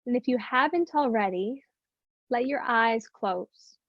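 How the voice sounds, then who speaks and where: Woman, meditation teacher, slightly high pitch, gentle, unhurried, long pauses, soothing guidance, slightly muffled lo-fi recording. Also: animated, dry recording. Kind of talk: guided meditation, soothing instruction.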